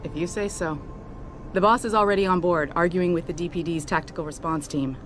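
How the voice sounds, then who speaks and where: Woman playing a quick-talking helicopter pilot. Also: fairly low pitch, faint music behind, natural voice. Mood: businesslike. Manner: frank, familiar. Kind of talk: video game voice acting. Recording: vehicle ambience noise behind voice.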